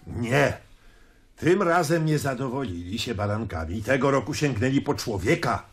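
An actor in a radio drama playing a sardonic, cynical Roman traveler with a deliberate delivery. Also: male voice, medium pitch, expressive, lightly acted.

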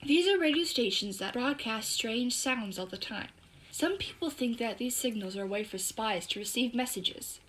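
A woman's voice: a little high, close to an everyday voice, poor audio. A female performer voicing an eager, bossy, clever young girl who confidently explains things in a scripted audio drama.

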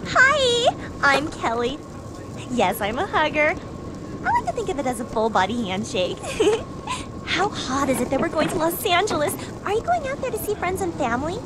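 Bubbly, high-pitched ditzy young blonde. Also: female voice, normal speed, full character voice. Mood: gushing, cheerful. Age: young adult. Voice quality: girlish, giggly. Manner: gushing, airheaded, very expressive. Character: bubbly, vain young blonde woman, aspiring actress. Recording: loud vehicle noise behind. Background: bus engine drone.

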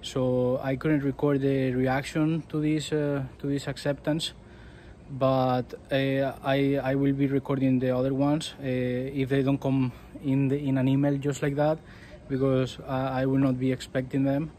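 A man's voice: medium pitch, little pitch movement, measured pace, dark tone, a little hiss or hum. A young man from Spain talking casually to camera in a vlog, hesitant, with many fillers and restarts. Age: 20s. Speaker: vlogger. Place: small room indoors.

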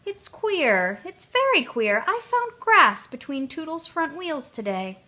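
A puzzled older railway oiler, musing aloud in a raised, bright voice, as a woman reads him in a storybook. He sounds baffled and curious. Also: high voice, sing-song, slow pace, voice pushed up, heavily acted.

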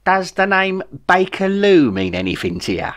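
A man's voice: high-pitched, very animated, moderate pace, a bit bright, voice pushed up. A man voicing a bossy, vengeful alien queen with a brash, sarcastic Cockney accent, reading an audiobook story. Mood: demanding. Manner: brash, bawling, sarcastic, slangy.

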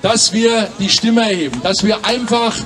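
A man in his fifties speaking forcefully into a handheld microphone at an outdoor rally, prompting listeners with frequent tag questions. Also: sits high, normal speed. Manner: forceful, repeating "ja?", inviting and prompting. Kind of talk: political street rally speech. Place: outdoor city square rally. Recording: distant voice with crowd noise.

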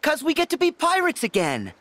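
Eager teen boy game hero playing pirate captain, high and really lively. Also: brisk, no room sound, heavily acted. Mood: cheerful. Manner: eager, playful, exclamatory. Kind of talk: video game cutscene acting.

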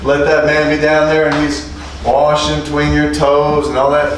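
A middle-aged man gives an animated teaching sermon, firing questions at his listeners and drawing amens back. His voice sits fairly high. The sound is very roomy and slightly distant, with steady noise underneath. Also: moderate pace. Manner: animated, calls for amens, asks the room questions. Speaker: preacher teaching street preachers. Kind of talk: lively interactive sermon. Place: small indoor meeting room. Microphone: lapel mic.

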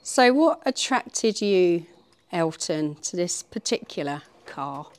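A woman's voice: lowish voice, big pitch swings, takes it slowly, a little mellow. A woman with a British accent chatting casually and playfully from behind the camera as co-host of a vlog. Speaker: co-host interviewing and filming.

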